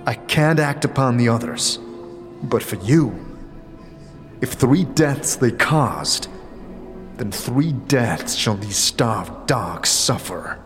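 A man playing a sombre, resolute but compassionate scarred wanderer in a fantasy audio drama, with earnest, measured speech. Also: mid-range voice, animated, music in the background.

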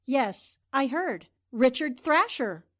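An actress playing a plucky young newspaper reporter in a radio crime drama, cool, quick-witted and dryly wry. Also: female voice, sits high, very animated, fairly bright tone, exaggerated voice. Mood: cool, disdainful. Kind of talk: old-time radio crime drama acting.

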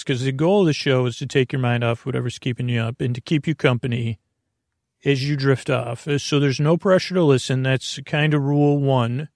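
Male sleep-podcast host, gravelly, rambling and self-interrupting, dry close sound. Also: darkish tone, no echo. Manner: meandering, self-interrupting, tangents; low-key, unhurried delivery. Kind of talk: rambling bedtime monologue.

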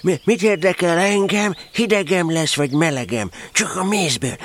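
A man acts out a little teddy bear for a children's audio play, with a notably high voice. He is naive and good-natured, eager about food, and hesitates as his slow wits catch up. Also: a bit bright, natural voice. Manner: hesitant, dim-witted, eager. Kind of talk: children's audio drama acting.

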